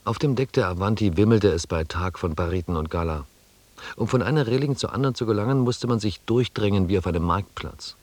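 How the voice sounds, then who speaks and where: An actor playing a sober expedition member who narrates a voyage in measured, literary German radio drama style. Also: male voice, lowish voice, darkish tone, dry recording, natural voice. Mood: sober, descriptive. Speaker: first-person narrator.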